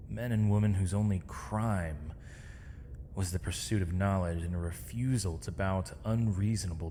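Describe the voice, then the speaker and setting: Deep-voiced sardonic captive mage, acted by a man. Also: darkish tone. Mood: bitter, challenging. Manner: sardonic, measured, theatrical formal diction. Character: captured male mage, defiant, weary, eloquent, accused villain. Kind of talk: scripted ASMR audio roleplay. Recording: long reverb, clear background noise.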